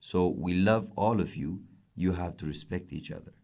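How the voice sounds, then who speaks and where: A man's voice: deep voice, normal speed, vocal fry. A man reading as a kindly wise farmer. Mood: instructive. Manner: calm, gently instructive.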